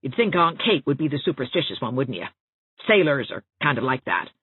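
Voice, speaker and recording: A woman's voice: extremely low pitch, sing-song, fairly quick, husky, narrow, tinny audio, heavily acted. A woman voicing a chatty, well-meaning older small-town busybody in an audiobook, with a folksy, rambling, confiding manner. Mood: confiding, fussy. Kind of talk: audiobook character dialogue. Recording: thin band-limited audio, roomy echo.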